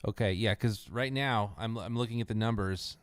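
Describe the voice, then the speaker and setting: A man on a podcast speaking as a guest in laid-back, halting replies. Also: on the low side, smooth, dry recording. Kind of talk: casual podcast conversation.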